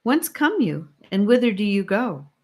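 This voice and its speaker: A woman's voice: medium pitch, very animated, moderate pace. A woman voicing an earnest, pious male pilgrim in an audiobook, speaking solemn, exclamatory archaic English. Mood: earnest questioning.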